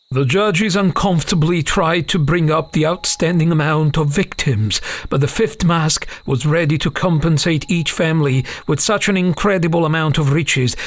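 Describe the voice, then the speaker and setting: Man, fantasy audiobook narrator, solemn dramatic storytelling, gravelly, very dry recording. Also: fairly high pitch, no room sound.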